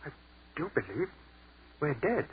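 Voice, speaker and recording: An actor playing an earnest army captain who has died, speaking urgently in 1940s radio-drama style. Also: male voice, on the high side, big pitch swings, unhurried, breathy edge, full character voice. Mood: wondering. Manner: urgent and stammering, then rapturous. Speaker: lead actor. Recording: tinny old radio broadcast with hiss.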